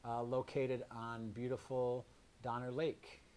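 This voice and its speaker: A man with a medium-pitched voice hosts a live community radio and TV show. He talks in a halting manner, pausing as he frames his segments and introductions. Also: completely flat delivery, darkish tone, slight background noise. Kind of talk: hosting a live community broadcast.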